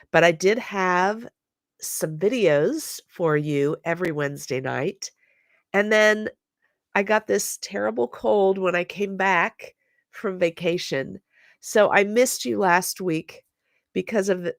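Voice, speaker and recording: A woman's voice: fairly low pitch, no room sound, clean studio sound. A woman hosting her scrapbooking video, chatting casually with viewers while stuffed up from a cold, full of ums and apologies. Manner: chatty, apologetic, lots of fillers. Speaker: scrapbooking channel host.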